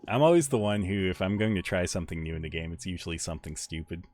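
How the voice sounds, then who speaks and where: A young man with a deep voice chatting casually with viewers while he plays a game on a live stream, rambling in a relaxed way. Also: fast talker, slight background noise. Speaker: livestreamer. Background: faint game music.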